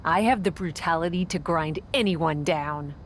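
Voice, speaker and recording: A woman's voice: sits low, moderate pace, a little hiss or hum, close to an everyday voice. A woman playing a cocky, sarcastic young pro wrestler in a video game cutscene.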